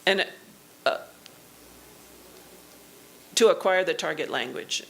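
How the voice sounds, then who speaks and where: A woman giving an academic keynote lecture in a university auditorium, speaking in measured phrases and pausing for an interpreter. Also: lowish voice, animated, gravelly, fairly bright tone, poor audio.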